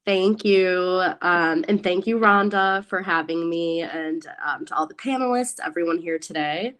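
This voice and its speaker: A young woman in her twenties, a graduate student speaking earnestly on a panel, often pausing with fillers. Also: on the low side, fairly bright tone, clean tone. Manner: earnest, with frequent "um"s. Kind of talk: panel discussion.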